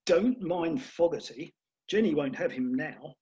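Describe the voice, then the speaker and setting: A woman's voice: sits low, expressive, unhurried, mellow, lo-fi sound. A woman playing a determined, drily sympathetic schemer in a comic Victorian farce, with dry understatement. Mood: drily reassuring. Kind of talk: comic stage farce acting.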